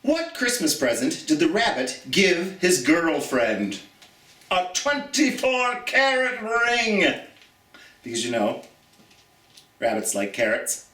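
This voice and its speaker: Echoey, high-pitched, animated male American storytime host. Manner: theatrical, drawn-out emphasis on key words. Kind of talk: children's storytime, reading and chatting to viewers. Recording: roomy, echoey, distant from the mic.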